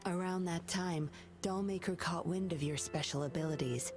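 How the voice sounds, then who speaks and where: A woman's voice: lowish voice, music in the background, natural voice. A woman playing a cool, composed young investigator. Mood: explaining. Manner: calm, measured, slightly teasing.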